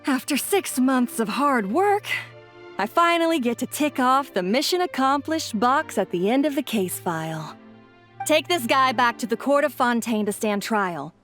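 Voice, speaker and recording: Game dub: a brisk, commanding officer, bright and animated. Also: female voice, high voice, faint music behind. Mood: satisfied. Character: brisk, upbeat woman police officer.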